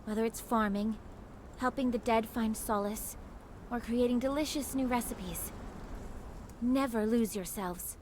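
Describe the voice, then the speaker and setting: A woman playing a composed, gentle young female squad leader in a dramatic video game, with earnest, measured, polished delivery. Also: a little high, noisy background, close to an everyday voice. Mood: calm, resolute. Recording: game dialogue over clear background music.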